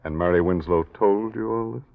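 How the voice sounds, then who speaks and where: An actor playing a skeptical, hard-boiled detective in a 1940s radio crime drama, terse and dry. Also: male voice, on the low side, a little fast, creaky. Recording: noisy, dull 1940s radio recording.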